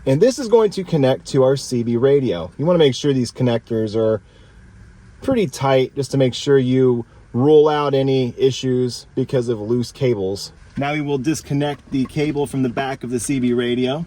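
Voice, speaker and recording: A man's voice: medium pitch, normal speed, warm tone. A man narrating a casual unboxing and review as the video's host, explaining things in a plain-spoken, instructional way.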